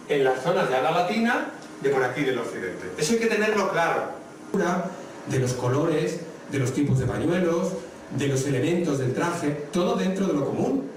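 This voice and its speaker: A man with a Madrid accent lectures an audience on a topic, talking them through his slides. His voice sits a little high for a man. He is picked up from a distance in a very echoey hall, over faint background noise. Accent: Madrid Spanish. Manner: explanatory, addresses the audience directly. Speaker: guest lecturer on traditional dress.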